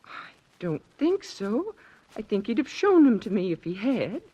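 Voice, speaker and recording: An actress playing a worried, hesitant widow in an old radio drama. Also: female voice, medium pitch, big pitch swings, unhurried, warm tone, breathy edge. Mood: anxious. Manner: hesitant, anxious. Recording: dull old radio recording.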